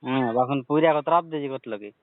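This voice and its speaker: A man's voice: medium pitch, quick pace, slight background noise. A man talking casually on a phone call, giving brief, laconic replies. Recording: narrow phone line.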